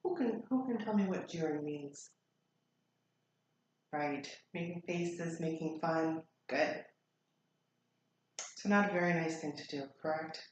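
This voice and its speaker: Woman, teacher's story read-aloud, slightly low pitch, expressive, deliberate, heavy room echo. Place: echoey room. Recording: very echoey room, faint noise.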